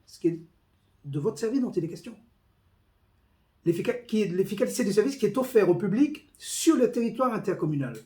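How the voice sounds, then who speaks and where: A man speaking as a police union representative, giving a formal, deliberate, emphatic report on a meeting. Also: high-pitched, animated, darkish tone. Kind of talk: spoken meeting report with quoted documents.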